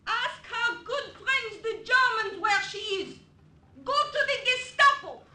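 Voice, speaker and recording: A woman's voice: very high-pitched, animated, slow, full character voice. An actress playing a cantankerous, sarcastic Parisian woman, scolding in a 1940s radio drama. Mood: bitter, sarcastic. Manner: shouting, scolding. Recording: echoey, noisy 1940s radio recording.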